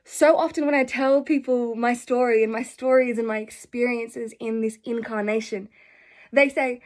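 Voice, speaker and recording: A woman's voice: on the high side, steady tone, normal speed, a little mellow. A young Australian woman telling personal stories to camera in an earnest, effusive, rambling way. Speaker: spiritual vlogger. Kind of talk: casual personal storytelling to camera.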